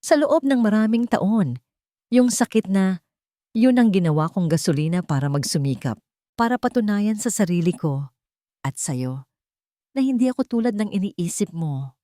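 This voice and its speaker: A woman's voice: mid-range voice, expressive, moderate pace, long pauses, dark tone, no room sound, clean studio sound, voice pushed up, natural voice. A woman with a Filipino accent voicing an earnest, forgiving younger man in love in a romance story. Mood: confessing hurt.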